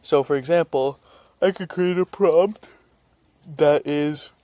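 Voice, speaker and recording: Man giving an informal, halting software tutorial. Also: on the high side, slight background noise. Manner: halting, thinking aloud while typing and reading out script. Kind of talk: informal screen-share tutorial.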